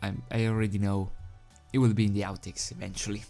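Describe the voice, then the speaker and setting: A video host and fan fiction reader with a hesitant, halting, self-deprecating manner, speaking into a desk microphone. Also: low voice, little pitch movement, warm tone. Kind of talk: casual rambling chat, then storybook narration. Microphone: desk microphone without pop filter. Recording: background music under the voice. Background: music.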